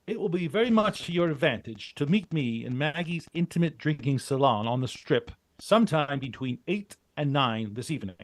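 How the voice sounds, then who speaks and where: A man acting the curt, dry, commanding leader of a trio of adventurers in an old-time radio drama. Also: on the high side.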